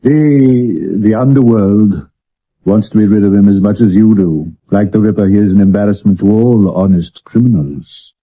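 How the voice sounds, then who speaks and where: An actor playing a vain, hard-drinking Victorian stage actor with a British accent and grandiloquent delivery, in a 1970s radio drama. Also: male voice, fairly low pitch, normal speed. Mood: evasive, flustered. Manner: pompous, grandiloquent, given to sudden shouts. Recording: muffled old medium wave radio recording.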